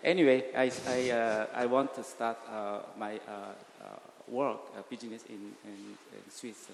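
A man from South Korea introducing himself at church, speaking haltingly with many fillers into a handheld microphone. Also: mid-range voice, level tone, vocal fry. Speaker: visitor. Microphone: handheld mic passed around. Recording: echoey church hall, faint noise.

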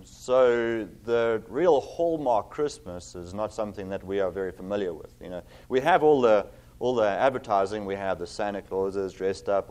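A middle-aged man from South Africa with a South African accent, preaching a sermon as a visiting pastor, earnest with many fillers, reading scripture slowly and with emphasis. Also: fairly low pitch, darkish tone.

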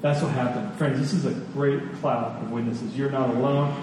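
A man giving a sermon in a conversational way, telling personal stories with emphatic repetition and pauses. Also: mid-range voice, fairly even intonation. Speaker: preacher. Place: large reverberant room with an audience. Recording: echoey hall, distant, faint noise.

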